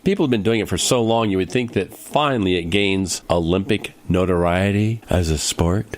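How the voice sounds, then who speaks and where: A man's voice: deep voice, normal speed. A man hosting a comedy radio show in a scripted, chatty style. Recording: clear music bed behind.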